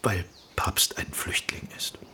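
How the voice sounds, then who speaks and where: An actor playing a courtly, flattering film director in a radio drama, speaking theatrically with dramatic pauses. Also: male voice, whispered. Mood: intense, visionary. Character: Austrian male film director, courtly, flattering, persuasive visionary.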